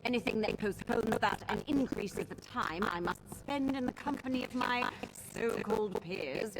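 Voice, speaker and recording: A woman's voice: a little high, normal speed, heavy background noise, close to an everyday voice. An actress playing a haughty, impatient sorceress in a video game, pompous and dismissive of her peers. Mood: haughty disdain. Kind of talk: video game character dialogue.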